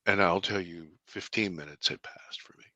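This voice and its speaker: Deep-voiced male interview guest, earnest storyteller, low pitch, bright forceful tone. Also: dry recording. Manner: earnest, halting with restarts, bursts of excitement. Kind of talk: conversational storytelling.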